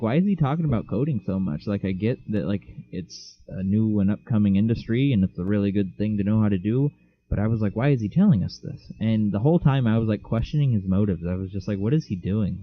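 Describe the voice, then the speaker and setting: Low-voiced teen guy with a dull mic. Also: fast talker. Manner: rambling, lots of "like" fillers. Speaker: podcast co-host. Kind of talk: casual chat.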